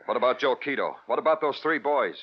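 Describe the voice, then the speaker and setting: Dogged, terse cop, deadpan vintage radio noir delivery, middle register, muffled archival audio. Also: male voice, mid-range voice, fast talker, slightly rough. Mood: hard, pressing. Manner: clipped, sardonic questioning and deadpan narration. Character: terse, dogged, world-weary male New York police detective. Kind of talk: 1950s radio crime drama acting and first-person narration. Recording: dull, muffled old radio recording with music.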